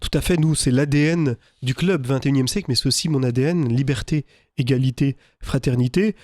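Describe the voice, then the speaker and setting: A man's voice: mid-range voice, brisk, darkish tone, dry recording. Hesitant man, radio studio guest. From Lorraine, France. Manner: hesitant, many fillers. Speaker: guest, business lawyer. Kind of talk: radio interview. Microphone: studio microphone.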